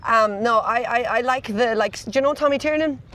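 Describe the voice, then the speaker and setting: A woman's voice: fairly high pitch, brisk, fairly bright tone. A young Irish woman, a comedian, chatting casually in a rambling way, full of "like" and laughter. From Ireland. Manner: rambling, peppered with "like", laughing often. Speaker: comedian guest. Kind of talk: casual chat.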